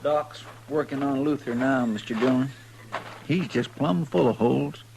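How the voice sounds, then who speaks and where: An actor playing a loyal, earnest, folksy deputy in a 1950s radio western. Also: male voice, medium pitch, normal speed, vocal fry, darkish tone, lightly acted. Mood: earnest, sympathetic. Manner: deferential, homespun, earnest. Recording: noisy old radio drama recording, slightly distant.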